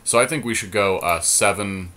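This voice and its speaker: A man with a fairly low voice and a bright, forceful edge to it talks through card choices with a friend. He thinks out loud, full of ums, half-finished starts and quick corrections. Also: a little hiss or hum. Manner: thinks aloud with many ums and restarts. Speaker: podcast co-host. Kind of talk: casual deckbuilding chat.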